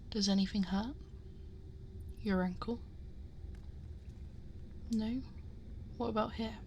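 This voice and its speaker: A woman playing a protective, possessive dragon girl in an ASMR roleplay, speaking softly and breathily, often in whispers, in an intimate, gently scolding and fussing way. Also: mid-range voice, a little hiss or hum. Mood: worried, tender. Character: possessive, worried, protective female dragon girl guarding her hoard. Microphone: close binaural microphone.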